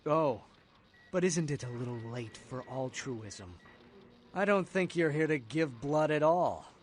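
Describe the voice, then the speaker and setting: A sly, streetwise blood bank clerk from a video game. His fairly high voice swoops up and down as he insinuates and needles with sardonic theatricality. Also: animated, unhurried, slight background noise. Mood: sly, teasing. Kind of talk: video game character acting.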